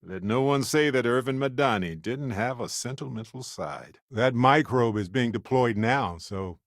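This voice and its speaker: A man playing a wry, warm-hearted middle-aged space explorer in a video game, conversational with earnest asides. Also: medium pitch, normal speed, no room sound, studio quality, close to an everyday voice. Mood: thoughtful, easygoing. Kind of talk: acted video game companion dialogue.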